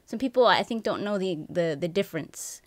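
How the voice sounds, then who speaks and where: A young woman hosts an interview from a room at home, friendly and upbeat, often restarting her questions. Her voice sounds dry and close, at a middle pitch for a woman. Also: expressive, a little fast, dry recording. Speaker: interview host. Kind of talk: casual interview over video call.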